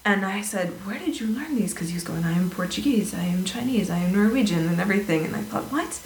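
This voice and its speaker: A woman chatting casually as a guest, telling animated stories about her teaching days and laughing easily. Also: mid-range voice, fast. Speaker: guest, former English teacher. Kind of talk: casual conversational storytelling. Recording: echoey room with faint noise.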